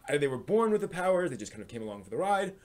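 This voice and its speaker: A man's voice: on the high side, very animated, rapid-fire. A man hosting a live stream, giving opinionated commentary on anime in a rambling, thinking-aloud way.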